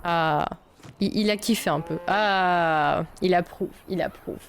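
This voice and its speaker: A woman's voice: lowish voice, slow, a little hiss or hum. A young woman from Belgium chatting casually while she plays a video game, thinking aloud and swearing often. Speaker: streamer playing a video game. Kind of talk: casual let's-play chat. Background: faint game music.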